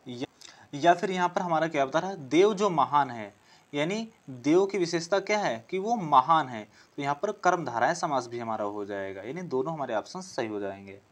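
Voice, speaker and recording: A man's voice: a little high, lively intonation, moderate pace. A man teaching an exam-prep class, working through questions, asking them aloud, answering them and repeating key phrases as he explains.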